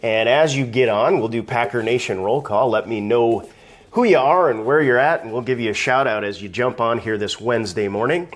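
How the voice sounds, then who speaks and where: A man hosting a casual live video stream, talking conversationally, greeting viewers by name and ranting, his voice slightly raspy from allergies. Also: medium pitch, a little fast.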